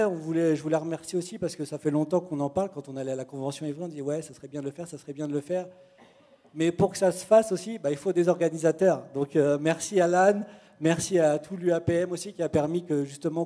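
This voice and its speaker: A man speaks in a fairly high voice with a mellow, warm tone. He gives a relaxed, chatty church testimony as a youth leader and leans on easy fillers. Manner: relaxed, conversational, frequent fillers.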